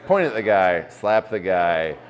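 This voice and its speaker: A man in his forties, a former football player co-hosting a casual sports podcast, joking around and calmly breaking down game plays step by step. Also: low-pitched, measured pace. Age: 40s.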